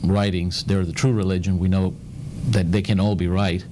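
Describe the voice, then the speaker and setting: Deep-voiced man. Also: level tone, background noise. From Spain, raised in Cuba. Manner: halting, many fillers and restarts. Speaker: guest. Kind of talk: personal chat on a TV talk show.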